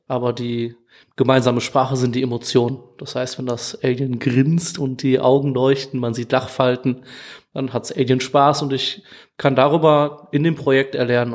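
A man's voice: mid-range voice, level tone, moderate pace. A man talking casually as a podcast guest, explaining things as a music educator. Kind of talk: casual interview talk.

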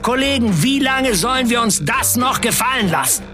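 A man playing a defiant factory worker in an audio drama, narrating in the first person with emphatic, measured delivery and a husky voice. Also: very high-pitched, lively intonation, music in the background. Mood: indignant. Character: defiant male Turkish factory worker recounting a wildcat strike.

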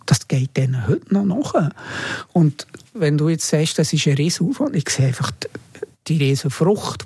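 Male interview guest with Swiss German accent, dark husky tone, creaky, halting, reminiscing delivery. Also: fairly high pitch, no room sound, studio quality. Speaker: interview guest, former radio team member. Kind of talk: casual reminiscing.

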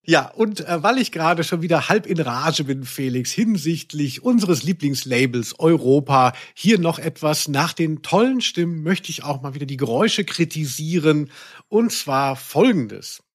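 A man with a somewhat high voice co-hosts a casual, humorous podcast. He talks in wordy sentences with a mock-indignant tone. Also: lively intonation, normal speed. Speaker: podcast co-host.

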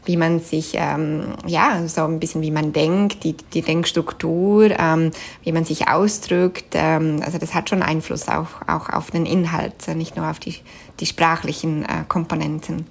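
A Swiss woman with a Swiss German accent, answering interview questions thoughtfully, often pausing with fillers and restarting sentences. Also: sits low, steady tone, gravelly, husky. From Switzerland. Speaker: interview guest.

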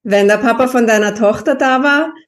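A woman's voice: a little high, normal speed. Affirming woman interviewer. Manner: engaged, affirming listener. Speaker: interviewer, linguist. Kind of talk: conversational interview.

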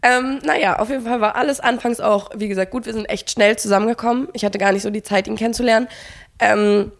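A young woman co-hosting a podcast, telling a personal story in casual chat and acting out the quoted dialogue. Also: a little high. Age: young adult. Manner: fast, animated, acts out quoted dialogue, frequent fillers. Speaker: podcast co-host.

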